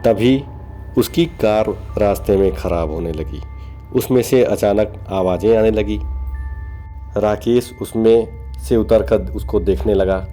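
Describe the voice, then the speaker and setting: Mellow, lowish male story narrator. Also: takes it slowly, faint music behind. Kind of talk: scripted horror story narration.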